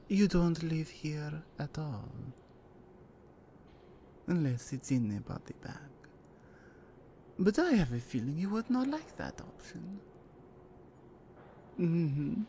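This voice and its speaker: A woman's voice: low voice, slight background noise, full character voice. A woman voicing a menacing female Russian mafia enforcer in an ASMR roleplay, speaking with a Russian accent and dropping into teasing whispers. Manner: slow, teasing menace with soft whispered asides. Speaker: voice actress.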